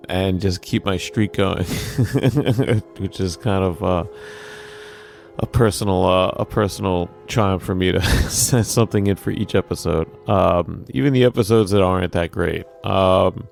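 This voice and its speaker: A man recording casual, off-the-cuff audio feedback as a listener, talking in a halting, unscripted way. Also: sits low, gravelly, soft background music. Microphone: recording himself on a microphone.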